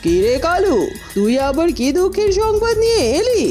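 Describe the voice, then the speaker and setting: A woman's voice: very high-pitched, sing-song, moderate pace, darkish tone, soft background music. A woman voicing an angry, scheming queen scolding a servant. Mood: scornful. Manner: scornful, fuming.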